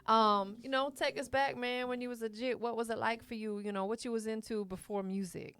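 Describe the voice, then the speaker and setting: Smooth-voiced woman podcast host. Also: fairly high pitch, moderate pace, dry recording. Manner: chatty, affirming, slangy. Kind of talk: casual interview. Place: podcast studio. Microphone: studio mic.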